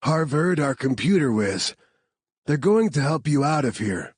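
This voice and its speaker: A man's voice: a little high, sing-song, a little slow, slightly rough, dry recording, voice pushed up, heavily acted. A man voicing a blunt, protective male team leader in an audiobook. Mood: reassuring. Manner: blunt, commanding.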